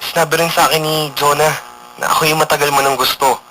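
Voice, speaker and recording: A man's voice: a little high, level tone, fast, sharp tone, slight background noise, low-quality recording. An actor with a Filipino accent playing an earnest, lovestruck young man in a radio drama, imploring and insistent. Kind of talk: emotional radio drama acting.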